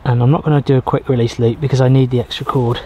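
A man in his thirties, outdoors in a pine forest, explaining how he pitches a shelter and gathers fire materials as he works, often restarting his sentences. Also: little pitch movement, fast, dark tone, breathy edge, highs cut off. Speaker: bushcraft vlogger. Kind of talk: casual outdoor how-to narration.

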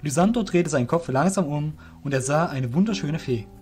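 Expressive male fantasy storyteller, fairly high. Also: brisk, faint music behind. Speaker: narrator. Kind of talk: scripted storytelling narration for a fantasy audio drama.

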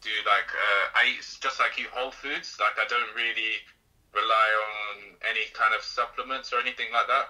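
A man's voice: fairly low pitch, steady tone, normal speed, sharp tone, poor audio. A young man hosting a casual phone interview, hesitant, with many fillers, talking into a phone in a small room. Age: young adult. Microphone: phone held to ear.

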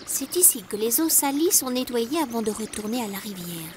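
A woman's voice: fairly high pitch, very animated, moderate pace, background noise. A woman voicing a tiny, friendly spider who enthusiastically guides children and explains nature in an educational cartoon. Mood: explaining, reassuring. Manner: enthusiastic, instructive, playful. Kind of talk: animated educational cartoon acting.

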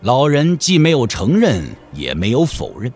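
A man's voice: medium pitch, huge pitch swings, normal speed, a little mellow, breathy edge, faint music behind. A man giving dramatic scripted narration for a wuxia audio drama.

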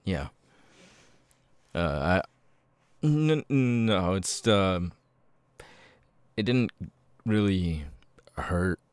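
A man acts out a nervous, socially awkward young man for an ASMR audio roleplay. His voice is extremely deep and slow, breaking into stammers and tics. The sound is dry, with no room around it. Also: animated, smooth, no echo, heavily acted. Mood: nervous, apologetic. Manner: halting, stammering, sudden blurted tics.

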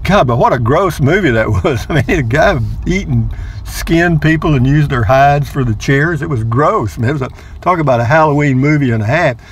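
A man's voice: on the high side, lively intonation, normal speed, slight background noise. An older man, narrating a casual vlog from inside his car, chatty and rambling, repeating words playfully. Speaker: vlogger.

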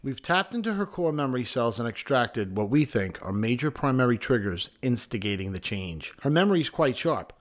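A man voicing an earnest government scientist in an animated comedy, explaining things in a formal way. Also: medium pitch, a little fast, hardly any pauses, close to an everyday voice. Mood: official, explanatory.

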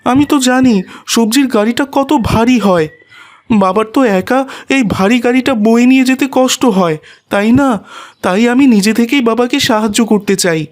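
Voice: medium pitch, normal speed, lightly acted. An actor voicing an eager, dutiful young schoolboy in a cartoon, speaking earnestly and politely. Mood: earnest, proud. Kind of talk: animated cartoon dialogue.

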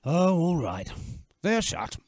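A man's voice: a little high, sing-song, slow, a little mellow, no room sound, studio quality. A man playing a doting, whimsical and anxious father in a radio play, fond and fussing. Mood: excited, reluctant.

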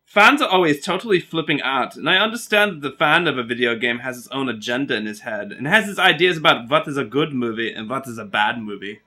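Quick, bright-voiced man reading a quotation. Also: mid-range voice, animated.

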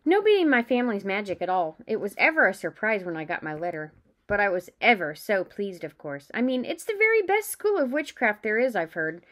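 A bossy young schoolgirl witch, played by a woman reading a storybook aloud. She sounds self-assured and emphatic, pitched a little up like an eager pupil. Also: sing-song, brisk, fairly bright tone. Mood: boastful.

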